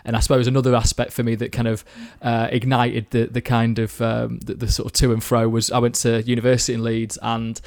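A man's voice: medium pitch, monotone, brisk, hardly any pauses, darkish tone, no room sound. A young man from the Manchester area chatting casually about football, rambling with lots of fillers and restarts. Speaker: football podcast guest. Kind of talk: casual chat.

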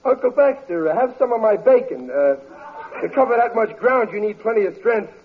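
A man's voice: high-pitched, lively intonation, normal speed. An actor playing a sarcastic, grumbling working-class father with folksy, ungrammatical talk in a 1940s radio comedy. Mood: eager. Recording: very muffled old radio broadcast.

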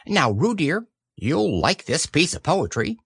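Man playing storybook rabbit, bossy, fussy, high, eager, dry close sound. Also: big pitch swings, a bit bright, slightly rough, no echo, heavily acted. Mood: urging. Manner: brisk, eager, urging others on. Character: bossy, fussy male rabbit, busy schemer.

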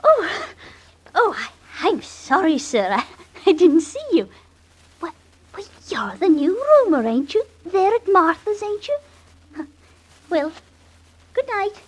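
A woman's voice: extremely high pitch, big pitch swings, slow pace, long pauses, heavily acted. A woman playing an emotional, girlish poor young woman of Victorian London in an old-time radio drama. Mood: flustered.

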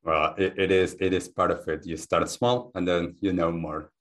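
A man from Argentina with a hard-to-place mixed accent, speaking as a podcast guest in a soft, measured, reflective way. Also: deep voice, fairly even intonation. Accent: mixed, hard to place, Argentine-Spanish base. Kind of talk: calm interview talk.

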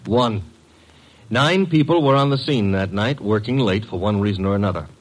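Wisecracking detective's assistant, American accent, breezy, wry, very animated intonation, classic radio style. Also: male voice, on the low side, moderate pace, low-quality recording. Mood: brisk, keen. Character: wisecracking, brisk male detective's assistant, cheeky and loyal.

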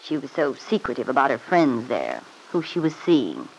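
A sly, spiteful radio-serial mother-in-law, expressively animated. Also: a bass voice, moderate pace, creaky, full character voice. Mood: insinuating, confiding. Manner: insinuating, self-justifying. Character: sly, spiteful middle-aged mother-in-law, self-protective and indignant. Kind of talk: old-time radio serial acting. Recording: noisy old radio broadcast recording.

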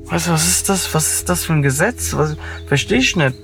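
A man's voice: fairly high pitch, animated, a little fast, vocal fry, a bit bright, soft background music. A young man from an Afghan family speaking bluntly and emotionally in a casual conversation while riding in a car. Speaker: interviewee. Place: in a car at night.

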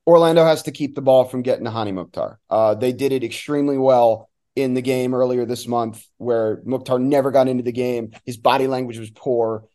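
Guy doing sports analysis like a radio announcer guest, very mellow dark voice, emphatic and opinionated. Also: medium pitch, fairly quick, few pauses.

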